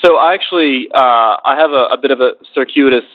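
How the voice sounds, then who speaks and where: Man on a tinny, phone-like line. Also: mid-range voice. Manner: measured, reflective, builds points in phrases. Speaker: podcast discussion guest. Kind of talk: conversational political commentary.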